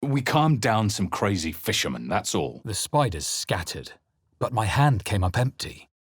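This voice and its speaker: A man voicing a wary, brooding adventurer hero in a fantasy video game, narrating in the first person with dramatic, measured intensity. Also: on the low side, sing-song, husky, no room sound, studio quality, exaggerated voice. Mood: tense, brooding. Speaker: video game player character voice.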